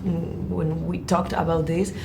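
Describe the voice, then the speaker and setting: Spanish-accented actress, low flat slow voice, hesitant guest. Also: female voice, creaky, background noise. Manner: hesitant, searching for words, many restarts. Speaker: actor, interview guest. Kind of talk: halting interview answers.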